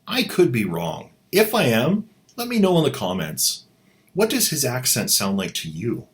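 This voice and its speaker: A man's voice: on the low side, fairly bright tone. A man hosting a video, giving mocking, irreverent commentary on an old audio drama. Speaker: channel host and commentator. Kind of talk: sarcastic commentary.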